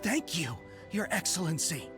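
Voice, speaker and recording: Casting: loyal rank-and-file soldier, formal, deferential, animated game delivery, light music behind. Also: male voice, a little high, normal speed. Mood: grateful, resolute. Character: respectful, dutiful soldier, contrite subordinate. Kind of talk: video game character acting.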